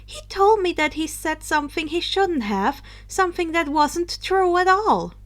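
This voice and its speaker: A woman plays an earnest young witch girl with a high, bright-eyed urgency. She speaks emphatically and indignantly, exclaiming as in a storybook reading. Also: lively intonation, measured pace. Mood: earnest, pleading.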